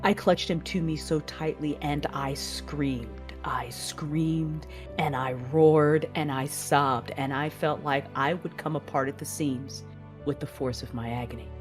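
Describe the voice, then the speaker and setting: A woman playing a grieving female Jedi and mother, narrating her own tragedy in an emotive, measured audio drama style. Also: sits low, soft background music. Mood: grief-stricken. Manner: emotive, measured storytelling with anguished dialogue.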